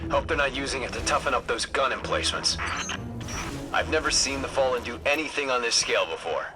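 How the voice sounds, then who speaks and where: A man playing a brisk, practical military scout giving clipped field briefings in a video game. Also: medium pitch, expressive, close to an everyday voice. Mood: concerned, resolute. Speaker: scout commander. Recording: music behind the voice. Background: game soundtrack music.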